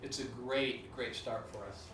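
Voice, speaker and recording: A man's voice: medium pitch, fairly even intonation, unhurried. Guy explaining stuff casual, lots of ums, echoey room, noisy, mic far away. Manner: casual, many "um" and "you know" fillers. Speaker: company director. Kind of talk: corporate training talk. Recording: roomy, from off-mic, with clear noise.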